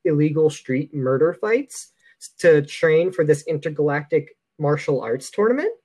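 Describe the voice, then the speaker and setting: A man's voice: a little high, animated, moderate pace, a little mellow. A man co-hosting a podcast in a casual film discussion, opinionated and critical in how he talks. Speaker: podcast co-host.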